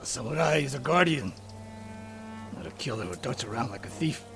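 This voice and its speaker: An actor playing a stern, world-weary older samurai archery master in a video game, gruff, terse and authoritative. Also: male voice, medium pitch, lively intonation, a little fast, close to an everyday voice. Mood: grave, reproving. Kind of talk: dramatic video game acting. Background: music.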